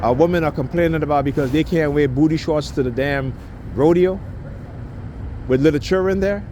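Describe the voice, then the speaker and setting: A man's voice: a little high, moderate pace. Man in his fifties, emphatic street talk. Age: 50s. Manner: emphatic, repeats "right?" and stumbles over words. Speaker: street interviewer. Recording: loud traffic and street noise.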